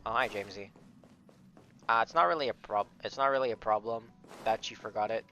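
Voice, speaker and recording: Creaky-voiced man chatting on a game stream. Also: fairly low pitch, fairly quick, sharp tone, slight background noise. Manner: rambling, slangy, talks to viewers. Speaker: game livestreamer. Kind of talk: casual stream chat and gameplay commentary. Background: game music.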